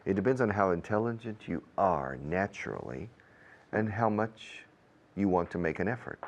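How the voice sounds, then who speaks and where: Male teacher-presenter, native English speaker, low-pitched voice, explaining to learners emphatically and deliberately. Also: big pitch swings, dull audio. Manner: deliberate, emphatic, repeats key words. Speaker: language teacher and programme host.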